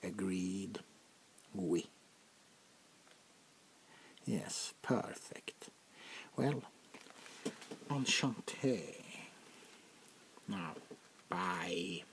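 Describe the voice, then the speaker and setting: A man playing a haughty, centuries-old French vampire prince in a soft-spoken, half-whispered ASMR roleplay, speaking deliberately and with condescension. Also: sits low, lively intonation, a little hiss or hum, exaggerated voice. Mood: commanding. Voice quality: gravelly, breathy half-whisper. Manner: slow, deliberate, condescending, drawn-out pauses.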